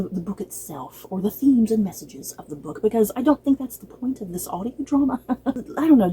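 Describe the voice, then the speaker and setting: Chatty woman vlogger with a mellow voice. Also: fairly low pitch, a little hiss or hum, poor audio. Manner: gushing, playfully exasperated fan talk; chatty, informal, addresses viewers directly. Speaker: book and drama review vlogger. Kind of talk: casual review chat to camera.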